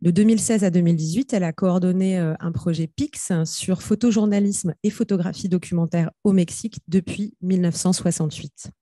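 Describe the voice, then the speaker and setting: A woman's voice: lowish voice, fairly even intonation, fairly quick, hardly any pauses, mellow, no room sound, clean studio sound. A woman chairing an academic panel, introducing a speaker with many hesitations and fillers. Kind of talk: introducing a speaker at a research conference.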